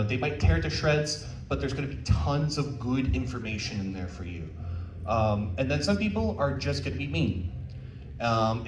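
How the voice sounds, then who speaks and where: Guy doing a casual panel talk, kinda frank n encouraging, mic sounds far off, echoey room. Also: medium pitch. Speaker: panel speaker. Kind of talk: informal convention talk with audience questions. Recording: roomy, distant mic, faint background noise.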